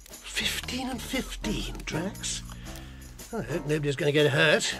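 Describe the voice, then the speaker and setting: An actor playing a genial, authoritative gentlemen's club official in a radio drama, polite but firm. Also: male voice, on the high side, very animated, a little slow, over music. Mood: genial, concerned.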